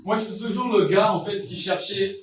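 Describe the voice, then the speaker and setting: A man's voice: sits high, fairly quick, a little hiss or hum. A young man from Brazil with a Brazilian Portuguese accent, preaching an informal, conversational sermon with hesitant restarts and many fillers. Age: young adult. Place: church hall. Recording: echoey church hall, distant mic, congregation. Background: congregation responding.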